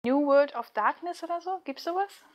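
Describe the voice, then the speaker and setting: A woman with a fairly high voice talks casually in an interview. She comes across as chatty and animated, explaining things in a loose, conversational way. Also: moderate pace, over a noisy crowd. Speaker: voice actress, interview guest.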